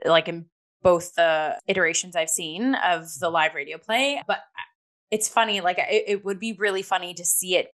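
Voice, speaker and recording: A woman's voice: on the low side, steady tone, normal speed, a bit bright. A woman chatting casually as a talk host reviewing theatre, acting out little asides as she goes.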